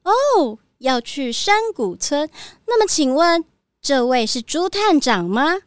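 A woman's voice: sits high, big pitch swings, slow, no echo, studio quality, exaggerated voice. A woman playing a polite young rental clerk with chirpy, sing-song customer-service manners in a children's audio drama.

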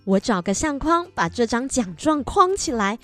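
A woman's voice: high-pitched, sing-song, normal speed, a bit bright, faint music behind. A woman acting a caring, admiring female character in a children's radio drama. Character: caring mother and gushing elderly woman friend.

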